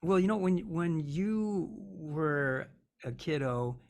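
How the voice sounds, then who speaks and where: A man hosting an interview over a video call, with a keen, upbeat delivery. He encourages his guest and reacts warmly to the answers. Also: fairly high pitch, expressive, slow, vocal fry. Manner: enthusiastic, encouraging. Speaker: interview host.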